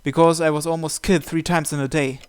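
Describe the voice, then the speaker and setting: A man's voice: on the high side, steady tone, fast, clean tone. A man hosting a visual novel playthrough, reading the first-person narration aloud.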